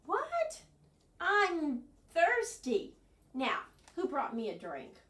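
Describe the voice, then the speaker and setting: Older woman playing a whiny melodramatic bird, high and swooping, demanding, storybook read-aloud, roomy distant sound. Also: huge pitch swings, drawn-out words, a bit bright, exaggerated voice. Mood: fussy, dramatic. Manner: whiny, dramatic, exclaiming. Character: fussy, demanding, melodramatic hungry cartoon bird. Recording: roomy, distant camera microphone.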